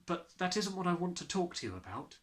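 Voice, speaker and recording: A man voicing an earnest, excitable little schoolgirl in a storybook, eager and insistent. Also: high voice, brisk, voice pushed up.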